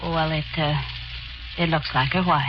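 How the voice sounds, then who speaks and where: A woman's voice: low voice, steady tone, a little hiss or hum, close to an everyday voice. Nervous young carousel woman, actress playing her. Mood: uneasy. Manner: hesitant, halting. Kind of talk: 1940s radio acting. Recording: lo-fi 1940s radio broadcast with music.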